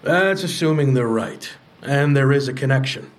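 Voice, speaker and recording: A man's voice: mid-range voice, lively intonation, moderate pace, lightly acted. An actor playing a skeptical man who questions everything in an audio drama support group scene.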